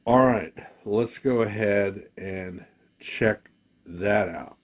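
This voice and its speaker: A man giving a casual, halting gear demo, thinking aloud as a musician at work. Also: fairly low pitch. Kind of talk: casual product demo talk.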